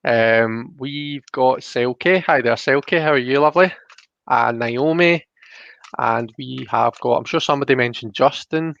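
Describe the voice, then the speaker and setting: A man's voice: mid-range voice, unhurried, gravelly, a bit bright, no echo. Man with dull, lo-fi sound. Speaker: host. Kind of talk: casual livestream tarot reading chat.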